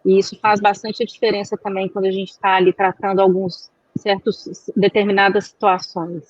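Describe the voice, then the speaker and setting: A woman, a guest answering interview questions in a measured, reflective way. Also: mid-range voice, level tone, dry recording. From Minas Gerais, Brazil. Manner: measured, reflective, searching for words. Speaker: guest, chamber of commerce executive. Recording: dry, dull recording.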